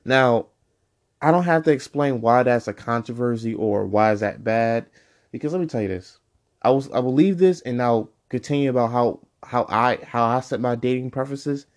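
A man's voice: mid-range voice, moderate pace. A man in his twenties hosting his own podcast in a casual, rambling way, full of fillers and self-corrections. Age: 20s. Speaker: podcast host. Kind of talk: casual solo podcast monologue.

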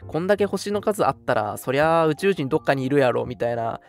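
A young adult man hosting a live web radio show, chatting in an animated, colloquial way. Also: mid-range voice, fast. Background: background music.

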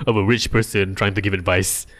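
A young man with a Malaysian accent co-hosting a podcast in casual chat, animated and teasing, laughing often. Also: lowish voice, fairly quick. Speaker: podcast co-host.

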